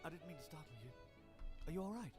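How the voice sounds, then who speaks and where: A man's voice: fairly high pitch, lively intonation, fast talker, natural voice. Polite young man over prominent music, acted. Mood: polite, apologetic. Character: polite, helpful young man, gentle strong neighbour. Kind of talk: visual novel voice acting.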